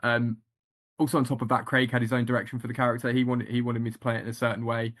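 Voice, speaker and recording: A man talks through his acting work in a relaxed interview, halting and restarting often. His pitch sits in the middle and barely moves, so the delivery comes out flat and even. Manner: hesitant, many ums and restarts, flat delivery. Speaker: actor, interview guest. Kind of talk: casual interview answers.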